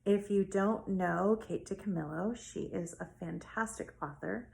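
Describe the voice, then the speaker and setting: Female elementary teacher for a children's read-aloud, mid-pitched, some vocal fry, expressive delivery. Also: measured pace. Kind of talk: casual talk to pupils and storybook read-aloud.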